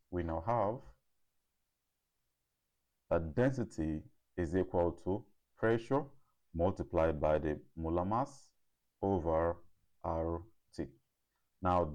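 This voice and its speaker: Man, low voice, tutor, step-by-step instruction, deliberate delivery, frequent check-ins. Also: a little mellow. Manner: deliberate, checks in with "okay" and "right". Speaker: chemistry tutor.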